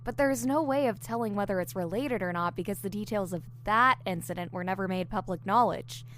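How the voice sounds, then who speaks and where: A woman's voice: medium pitch, brisk, fairly bright tone, a little hiss or hum, lightly acted. A woman playing an eager, diligent newly hired investigator in an audio drama, explaining things earnestly. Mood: earnest, speculative. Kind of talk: audio drama dialogue, explaining case theories.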